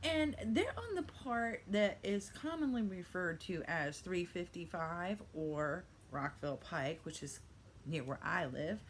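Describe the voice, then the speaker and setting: A woman's voice: fairly low pitch, animated, a little slow, a little hiss or hum. An older woman telling a playful fairy-tale style story with theatrical narration and chatty asides. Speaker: vlogger telling a reselling story.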